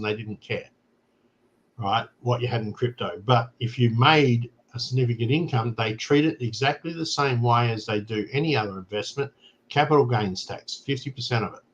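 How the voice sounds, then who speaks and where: A man's voice: medium pitch, normal speed, dull audio. An Australian man hosting a casual talk show, explaining things plainly and often checking in with "right?". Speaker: show host. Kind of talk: casual chat.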